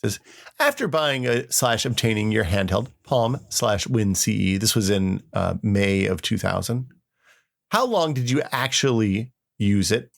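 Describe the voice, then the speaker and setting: Creaky low-voiced man chatting casually. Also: animated, measured pace. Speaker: podcast co-host. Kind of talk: casual chat with dramatic readings of old forum posts.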